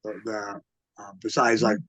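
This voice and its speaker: A man's voice: fairly low pitch, level tone, unhurried, sharp tone, no room sound. A man talking casually as an interview guest, explaining things in a rambling, conversational way. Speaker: museum founder and curator, interview guest.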